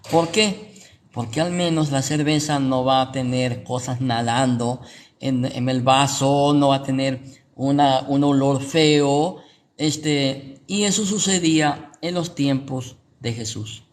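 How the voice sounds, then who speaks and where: A man's voice: medium pitch, slow pace, a little hiss or hum. A Guatemalan man with a Guatemalan Spanish accent, a pastor giving informal Bible teaching and checking in with rhetorical questions. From Guatemala City, Guatemala.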